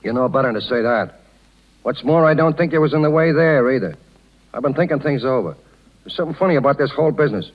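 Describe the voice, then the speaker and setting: A man's voice: medium pitch, lively intonation, quick pace, natural voice. A blunt, loyal circus canvas man played by a male actor talks in slangy, working-class 1930s radio style. He is protective and plain-spoken. The sound is the dull band of an old broadcast. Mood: insistent. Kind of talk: 1930s radio drama acting.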